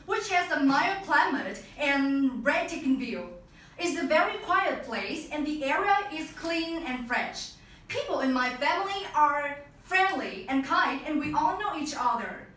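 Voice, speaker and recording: A young woman with a high voice presents to a class, speaking steadily with an occasional slip. She sounds far from the mic in a very echoey room with faint background noise. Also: a bit bright. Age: young adult. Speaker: student presenter.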